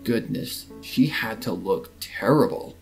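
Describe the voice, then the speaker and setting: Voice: mid-range voice, expressive, slow pace, low-quality recording. A narrator giving a scripted, dramatic reading of a story. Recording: music under the voice. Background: clear background music with guitar.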